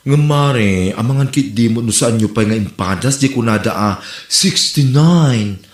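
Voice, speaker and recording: A man's voice: mid-range voice, measured pace, natural voice. Man playing a cheeky Ilocano village friend, echoey room. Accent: Filipino, Ilocano. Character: cheeky, gossipy, advice-giving village friend. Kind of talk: amateur comedy radio drama acting. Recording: roomy, echoing amateur podcast recording.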